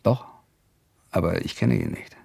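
An actor playing a secretive, curious man who investigates by telephone in a German radio crime drama, with halting, evasive answers. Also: darkish tone, natural voice. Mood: evasive, insistent.

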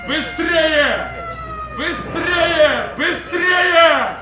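A man's voice: very high voice, drawn-out words, crowd noise, full character voice. A man playing a stern Soviet army major in a radio drama, barking terse, urgent orders. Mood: frantic, commanding. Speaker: military commander. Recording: echoey radio play, loud music behind.

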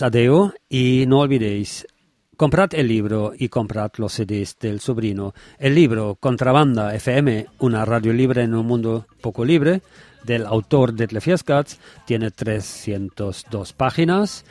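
A man's voice: medium pitch, measured pace, a little mellow, no room sound. A man presenting, signing off and plugging a book in an announcer's role. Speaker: radio presenter. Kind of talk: presenter's sign-off and book plug.

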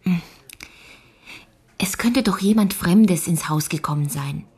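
A woman's voice: fairly low pitch, slow, darkish tone, breathy edge, exaggerated voice. An actress playing a curious, stubborn, loyal young girl in a radio drama, questioning and arguing in an earnest way. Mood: argumentative.

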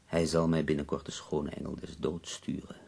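Sick old-world poet, very low voice, husky, sardonic, death-weary, theatrical pauses, radio drama. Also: male voice, completely flat delivery, a little mellow, exaggerated voice. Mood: weary, melancholy. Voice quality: husky, gravelly. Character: ailing, bedridden male poet in Paris, wry and death-weary.

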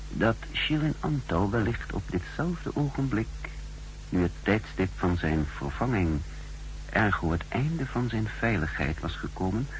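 A man playing a calculating spy who narrates his thoughts in a 1960s radio drama, deliberate and introspective. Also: fairly low pitch, a little hiss or hum, poor audio. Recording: humming old 1960s radio recording.

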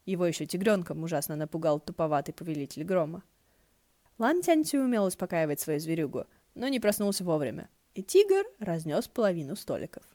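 Mellow, low-voiced woman narrator. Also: sing-song, brisk, no echo. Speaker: audiobook narrator. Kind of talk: expressive scripted narration of fan fiction.

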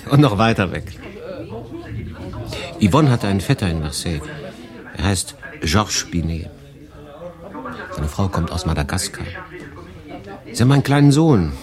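A male actor playing a world-weary refugee in 1940s France, confiding his story in a dramatic monologue with deliberate pauses. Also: sits low. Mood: matter-of-fact. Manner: conversational, deliberate pauses, shifting between emphatic and hushed. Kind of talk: dramatic first-person monologue, literary audio drama.

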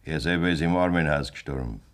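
An actor playing a blunt, scheming middle-aged farmer with a Bavarian accent in a German radio play. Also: male voice, a bass voice, fast. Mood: calculating, boastful. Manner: blunt, domineering. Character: hard, scheming farmer demanding a divorce from his wife.

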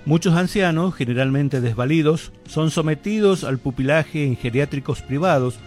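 Male narrator, Argentine accent, fairly high voice, formal, solemn, light music bed. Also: moderate pace, a little mellow. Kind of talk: scripted documentary narration.

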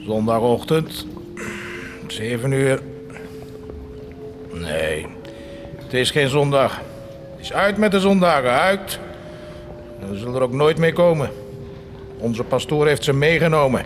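A despairing poor villager played by a male actor in a radio play. His mid-pitched voice is plaintive and bitter, with faint music behind it. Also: animated, unhurried, long pauses, natural voice. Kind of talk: Dutch radio drama acting.

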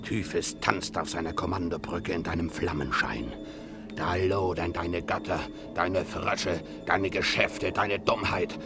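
An actor playing a savage, gleeful pirate captain in a radio play, gloating theatrically and relishing destruction. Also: male voice, sits low, measured pace, over music. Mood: dark gloating. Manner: theatrical, gloating, swinging from murmur to bellow. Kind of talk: German radio play acting.

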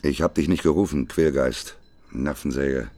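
An actor playing a weary, grumpy prophet in a radio drama, curt and grumbling. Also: male voice, moderate pace, a little mellow, faint music behind. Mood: weary, irritable. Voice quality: very deep, gravelly. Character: weary, grumpy male prophet wanting to be left alone.

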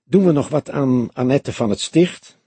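A young police detective, played by a male reader, sounds earnest and easily irritated. His voice is very husky, with lively pitch movement and clipped, eager phrasing for an audiobook. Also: unhurried, dry recording. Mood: grim. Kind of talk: audiobook character dialogue.

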